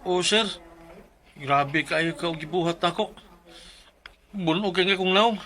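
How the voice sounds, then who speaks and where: An actor with a Cebuano Filipino accent playing a polite, hardworking young delivery rider in a radio drama, deferential and pleading. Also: male voice, high voice, measured pace, bright, forceful tone, a little hiss or hum. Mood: weary, resolved. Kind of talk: Bisaya radio drama acting.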